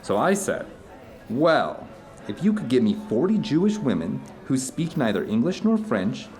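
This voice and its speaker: A man's voice: medium pitch, big pitch swings, moderate pace, a little mellow, lightly acted. A man playing an earnest theater director telling stories to an old friend in a conversational audio drama. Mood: amused storytelling. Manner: storytelling, earnest, discursive. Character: animated theater director recounting his travels to an old friend.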